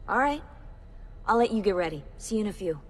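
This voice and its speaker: A woman's voice: mid-range voice, animated, quick pace, long reverb tail, slight background noise, close to an everyday voice. An actress playing a friendly, considerate young female colleague in a video game, acted in a natural, everyday way.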